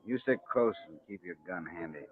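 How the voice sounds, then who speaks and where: A man's voice: deep voice, expressive, brisk. A man acting a surly, wary gangster in vintage radio-drama style. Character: surly, wary gangster men, boss and henchman. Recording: muffled, hissy old radio recording.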